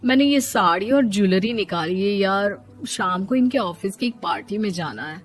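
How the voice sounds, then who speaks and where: A woman's voice: mid-range voice, lively intonation, moderate pace, close to an everyday voice. A woman voicing a friendly, chatty young female friend in a moral story, casual and chummy. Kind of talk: animated moral story voice acting.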